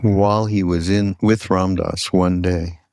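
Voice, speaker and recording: An older man with a low, creaky voice hosts a reflective conversation. He speaks slowly and haltingly, often pausing to find the next word as he tells a story. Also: fairly even intonation, darkish tone, no room sound. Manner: halting, searching for words. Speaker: podcast host.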